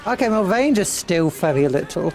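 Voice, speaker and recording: A British woman streaming a game, chatting playfully and excitably. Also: lowish voice, moderate pace. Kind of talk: casual gameplay commentary.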